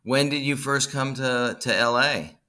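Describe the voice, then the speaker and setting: Bright-voiced man hosting a halting podcast conversation. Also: medium pitch. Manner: halting, repeats words and restarts phrases. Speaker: podcast host, bookstore owner. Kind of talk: unscripted podcast introduction and interview.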